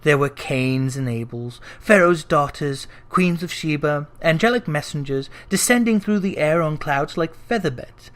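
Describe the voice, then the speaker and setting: Audiobook narrator, classic literary storytelling, theatrical delivery, deliberate phrasing, drawn-out emphasis. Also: medium pitch, a bit bright. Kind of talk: expressive dramatic storybook reading of a classic ghost story.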